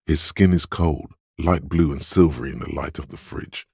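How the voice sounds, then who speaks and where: A calm, clinical inner sense that speaks straight into the player's head, its voice extremely low and rough with breath. It narrates in a measured, detached way, recorded bone-dry and very close. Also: male voice, dry recording, exaggerated voice. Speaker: voice actor. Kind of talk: narrated game skill voice.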